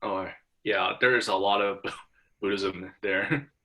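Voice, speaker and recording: A young man from Hong Kong talking in a relaxed back-and-forth with his professor. His voice sits a little low but comes across bright and forceful. He stumbles through many restarts and fillers. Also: slow pace. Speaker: student. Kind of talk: casual conversation.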